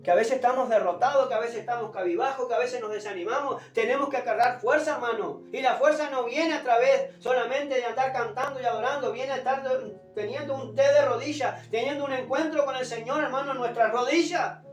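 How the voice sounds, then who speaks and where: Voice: mid-range voice, brisk, hardly any pauses. A preacher delivers a fervent, emphatic sermon, pushing a strained, sore throat and often repeating exhortations. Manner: emphatic, exhorting, repeats phrases and addresses listeners as brother. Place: echoing room, preaching unamplified. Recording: roomy, distant pickup without a microphone, music behind.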